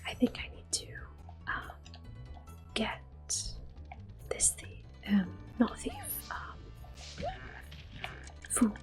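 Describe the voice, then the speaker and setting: Woman, ASMR game host: soft-spoken to whispered, slow and gentle, close mic, music behind. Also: mid-range voice, wildly swooping pitch. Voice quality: soft, breathy, often whispered. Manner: gentle, unhurried. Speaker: gameplay host. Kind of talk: ASMR gaming commentary.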